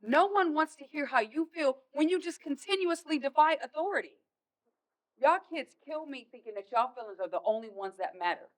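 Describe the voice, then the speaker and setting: A woman's voice: high voice, lively intonation, brisk, low-quality recording. Stern fed-up american mom played by a woman, emphatic, stage play style. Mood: scolding, exasperated. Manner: scolding, emphatic. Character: stern, exasperated mother of teenagers.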